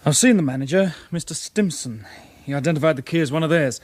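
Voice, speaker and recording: An actor playing a police detective's colleague in a radio crime drama, matter-of-fact and dryly questioning. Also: male voice, a little high, fast, darkish tone, natural voice.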